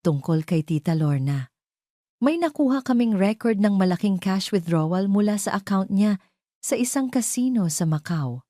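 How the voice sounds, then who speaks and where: A dutiful Filipino office assistant, read by a woman narrator reports in an audio drama. The delivery is urgent and businesslike, the tone dark, the recording dry. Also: mid-range voice, animated, moderate pace, dry recording, voice pushed up, close to an everyday voice. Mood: urgent, reporting.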